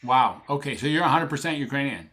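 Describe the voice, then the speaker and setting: Older male psychiatrist guest, casual rambling chat, warm enthusiasm, lots of ums. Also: mid-range voice, animated, fast. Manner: rambling, enthusiastic, many fillers and restarts. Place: Sacramento, USA.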